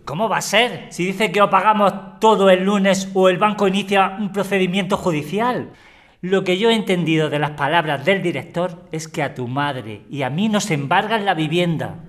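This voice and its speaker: A man acts out an older father in a radio drama. His voice sits a little high for a man, and he sounds blunt, anxious and easily bewildered. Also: moderate pace, close to an everyday voice. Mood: alarmed, confused.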